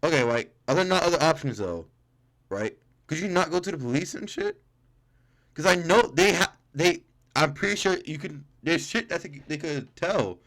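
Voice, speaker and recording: A man's voice: on the high side, animated, normal speed, creaky, fairly bright tone. A young man hosting a gaming video, casually reading game text aloud and commenting in slangy, informal speech, stumbling over words as he reads.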